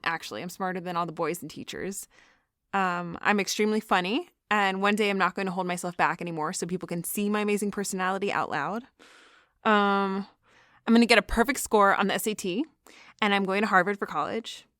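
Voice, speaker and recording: A woman playing an excitable, self-important teenage girl who rambles dramatically through a confessional audio diary. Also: fairly low pitch, dry recording, clean studio sound, natural voice. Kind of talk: confessional audio diary monologue, naturalistic acting.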